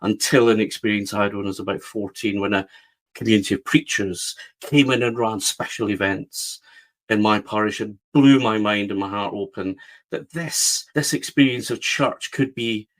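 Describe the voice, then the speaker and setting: A man's voice: fairly low pitch, normal speed. A middle-aged man from the west of Scotland, speaking with a West of Scotland accent as a guest, telling his story earnestly with frequent restarts. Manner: earnest, repeats words as he restarts. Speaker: guest, publisher. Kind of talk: reflective storytelling interview.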